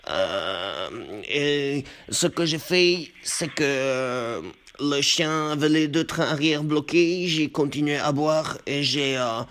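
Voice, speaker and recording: A man playing an embarrassed, formal truck driver on a deadpan prank call, halting and over-polite as he confesses. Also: on the high side. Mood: sheepish, halting. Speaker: prank caller.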